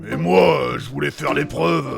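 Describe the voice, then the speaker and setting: A man playing a gruff, grumbling, slow-witted barbarian warrior in a comedic fantasy audio drama. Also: on the high side, music in the background. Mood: grumpy, exasperated. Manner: blunt, grouchy, coarse, slow-witted.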